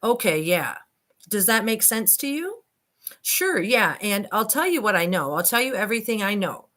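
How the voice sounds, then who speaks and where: A woman's voice: mid-range voice, sing-song, moderate pace, a bit bright. A woman reading a transcript aloud and commenting informally, addressing listeners directly with skeptical asides. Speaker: online commentator and host.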